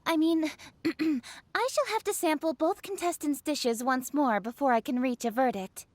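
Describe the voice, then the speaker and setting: A woman's voice: sits high, unhurried, no room sound. A woman playing a stately, formal judge with a keen appetite in an anime game. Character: formal female judge with poorly hidden appetite.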